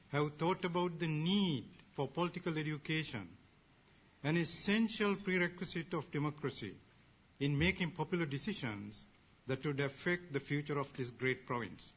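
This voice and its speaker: A man's voice: a little high, slightly rough. Sinhalese-native man from Sri Lanka, formal assembly speech, muffled lo-fi recording. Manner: measured, ceremonious, short phrases. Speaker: assembly member.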